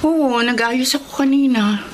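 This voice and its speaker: An actress playing a gentle, caring young wife and mother with a Filipino accent in a radio drama. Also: high voice, a little slow. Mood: tender. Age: young adult. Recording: noisy radio drama track.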